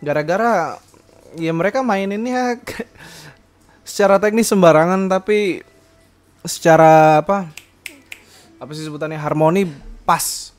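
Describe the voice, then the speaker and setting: A young man talks freely on his podcast, rambling and circling back with fillers and restarts. His voice sits a little higher than most men's. He laughs easily between thoughts. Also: unhurried, long pauses, soft background music. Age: young adult. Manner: rambling, many fillers and self-corrections, laughs often. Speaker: podcast host. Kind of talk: casual solo podcast chat.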